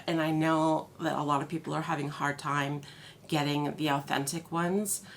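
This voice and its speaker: A woman's voice: low-pitched, gravelly, slight background noise. A congested woman chatting casually through a product haul, with many fillers and restarts. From Canada. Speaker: haul video host.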